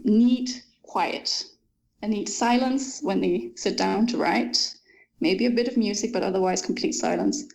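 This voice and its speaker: A young Danish woman speaks in English as an author being interviewed, with a middle-pitched voice. She thinks aloud, pausing with small ums, and laughs easily between thoughts. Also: vocal fry, darkish tone, low-quality recording. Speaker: author guest. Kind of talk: interview conversation.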